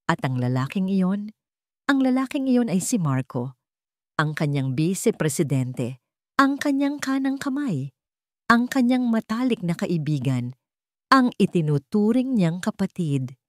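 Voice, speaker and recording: A woman's voice: medium pitch, sing-song, a little slow, darkish tone, dry recording, clean studio sound. A woman reading a scripted dramatic story as its narrator.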